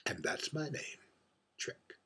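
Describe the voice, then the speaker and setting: A man playing a world-weary, wry drag performer in a theatrical monologue, confiding and deliberate, moving between hushed murmurs and emphatic phrases. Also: whispered. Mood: melancholy, philosophical. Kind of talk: theatrical dramatic monologue read by its author.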